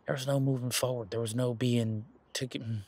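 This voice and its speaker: A man playing an earnest, teasing werewolf boyfriend in an intimate roleplay audio drama, speaking casually and haltingly with a husky voice. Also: mid-range voice, fairly even intonation, darkish tone, lightly acted. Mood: earnest, hopeful. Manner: casual, halting, conversational with trailing pauses. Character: male werewolf boyfriend, earnest, hopeful, teasing former bodyguard.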